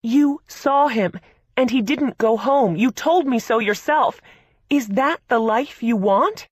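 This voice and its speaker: Exasperated older sister, loud and raised, read by a female narrator, dry close mic. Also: fairly high pitch, expressive, slow pace, breathy edge, no echo, voice pushed up, exaggerated voice. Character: furious, protective older sister.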